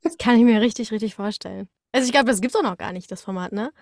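Chatty woman, raised pitch, dry sound. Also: fast, no echo. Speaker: podcast guest. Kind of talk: casual podcast chat.

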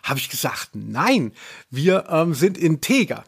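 A man with a fairly high-pitched voice talks as a podcast host. His delivery is casual and humorous, with a wry, teasing edge as he chats about audio dramas. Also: very animated, a little slow, vocal fry, husky.

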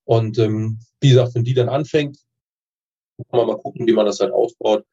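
Husky, mellow man on a muffled recording. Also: on the low side, steady tone, moderate pace. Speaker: interview guest, dentist with orthodontic practice. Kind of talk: casual chat interview. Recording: dull, muffled lo-fi connection.

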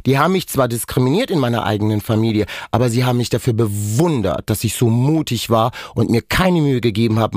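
Man in his forties, native Romani speaker, impassioned and emphatic, mid-pitched voice, dry close sound. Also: darkish tone, dry recording. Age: 40s. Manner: impassioned, emphatic, speaks in long building sentences. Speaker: podcast guest. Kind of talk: personal testimony in conversation.

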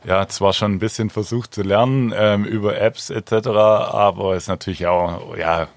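A man with a very low, level voice speaks in an easygoing, halting way, filling gaps with small hesitations. The sound is close and dry, with no room around it. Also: no room sound. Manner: relaxed, hesitant, many fillers. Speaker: handball player, guest. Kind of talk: casual interview answers.

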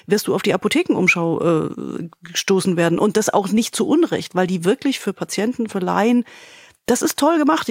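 A woman's voice: on the high side, breathy edge, no echo, studio quality. A woman co-hosting a podcast, giving emphatic, enthusiastic opinions in a conversational style. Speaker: podcast co-host.

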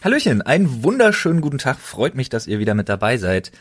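A man's voice: medium pitch, big pitch swings, fast. A young man hosting a podcast in a casual, chatty style. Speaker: podcast host.

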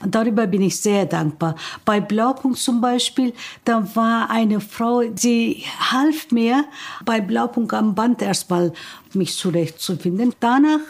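A woman in her seventies, originally from Turkey, with a Turkish accent, recalling her life slowly and thoughtfully with frequent hesitations. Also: a little high. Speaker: interviewee. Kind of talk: personal recollection.

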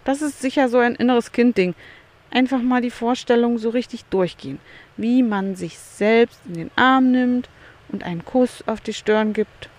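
A woman's voice: fairly high pitch, normal speed, darkish tone. Musing woman host of a solo podcast. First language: German. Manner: musing, self-questioning, candid. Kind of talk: solo reflective podcast monologue. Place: outdoors in a beach chair by the sea.

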